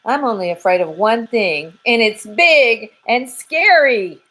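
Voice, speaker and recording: A woman voicing a twitchy, timid male squirrel who is scared of everything, with drawn-out, quavering cries. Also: a little high, animated, fairly bright tone, pitched above the performer's own voice, heavily acted.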